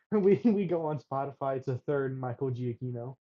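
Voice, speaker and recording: A man's voice: medium pitch, animated, moderate pace, lo-fi sound. A man co-hosting a show reaction, teasing his friend in slangy, casual talk and laughing a lot. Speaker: co-host of a reaction channel.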